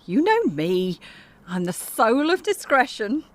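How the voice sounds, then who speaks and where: An actress in an audio drama playing an imperious, bawdy wartime singer who swears, orders people about and gushes endearments. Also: female voice, fairly high pitch, very animated, takes it slowly. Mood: grumbling, playful. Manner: bossy, sweary, gushing endearments. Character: Edith, imperious, bawdy, theatrical female singer in wartime France.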